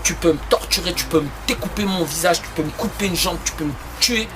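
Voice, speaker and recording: A man's voice: high voice, fast talker, over music. A man from France making a defiant declaration, hammering the same phrase again and again.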